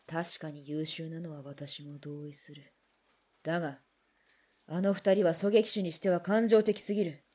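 A woman's voice: fairly even intonation, measured pace, warm tone, breathy edge. A woman playing a defiant Russian ex-officer in a radio drama, blunt and challenging. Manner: blunt, challenging, low and clipped. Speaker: former Russian imperial soldier. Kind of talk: hobby radio drama acting.

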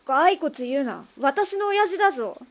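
An actress playing a tough, brusque female underworld boss and mother in a radio drama, speaking in a rough, mannish, commanding way. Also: high voice, lively intonation, a little slow, very noisy. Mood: bossy. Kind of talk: Japanese radio drama acting.

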